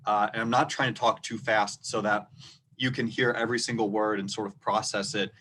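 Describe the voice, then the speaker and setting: A man with a rural Minnesota accent, a debate coach teaching students in an instructional video, speaking loudly and deliberately so each word stands apart. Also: lowish voice, little pitch movement, slight background noise.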